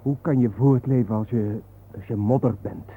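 An actor playing a troubled, weary family man, earnest, halting and defensive, in a naturalistic radio drama. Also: mid-range voice, steady tone, natural voice. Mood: uneasy, resolute. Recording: tinny narrow-band old radio recording, hissy.

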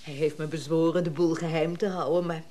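A woman's voice: low voice, steady tone, fairly quick, mellow, a little hiss or hum, lightly acted. An actress playing a thrifty, wary middle-aged woman in a naturalistic radio drama, chatty and dismissive. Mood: chatty, practical. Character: thrifty, wary middle-aged woman selling her late uncle's old instrument.

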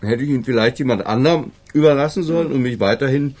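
Actor as earnest, slightly husky male lawyer. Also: medium pitch, quick pace, darkish tone, close to an everyday voice. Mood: indignant, teasing. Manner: earnest, self-justifying, banters back. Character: idealistic, proud male defence lawyer, defending underdogs. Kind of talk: naturalistic German radio crime drama acting.

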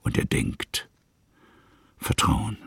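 A man with a gravelly, breathy voice, narrating a literary radio play in a musing, halting manner that sometimes sinks to a hush. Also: no room sound. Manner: musing, halting, hushed at times.

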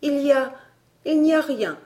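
A woman's voice: fairly high pitch, a little slow, a little mellow, voice pushed up, natural voice. A woman voicing a curious, sensible young girl in a storybook, with an earnest, reasoning manner.

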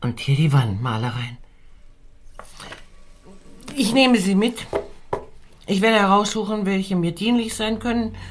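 An actress playing a composed, commanding lady who leads an expedition, speaking formally and with measured authority in a radio drama. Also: mid-range voice, expressive, a little hiss or hum, lightly acted. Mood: instructing.

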